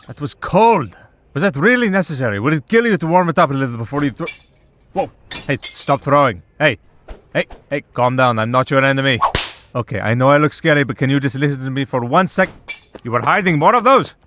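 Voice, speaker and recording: A man's voice: mid-range voice, animated, fairly quick, noisy background, close to an everyday voice. A man voicing a centuries-old vampire who hunts monsters, wry, patient and dryly exasperated, in a scripted audio roleplay. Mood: exasperated, pleading. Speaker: voice actor.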